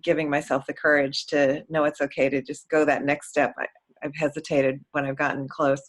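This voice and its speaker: An audience member speaking casually, thinking aloud and halting while searching for words. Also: mid-range voice, flat delivery. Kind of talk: casual conversation.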